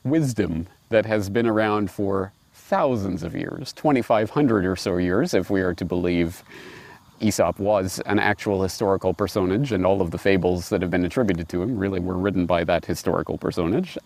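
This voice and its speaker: A middle-aged man presenting and reading aloud in a musing, wry, expressive way, recorded outdoors on a lapel mic. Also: fairly low pitch. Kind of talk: reflective commentary and storybook reading. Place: outdoors in a grassy park.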